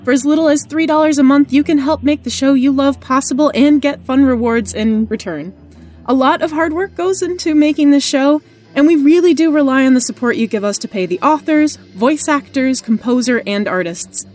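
A woman introduces a podcast as its guest host. Her voice is on the high side, with expressive, emphatic phrasing, and music plays softly behind her. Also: moderate pace.

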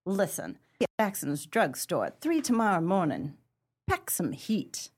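A woman playing a tough, proud 1930s gang leader in a pulp crime radio play, with brassy, slangy gangster talk and a husky voice. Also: lowish voice, wildly swooping pitch, unhurried, a little mellow, heavily acted. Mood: tough, challenging.

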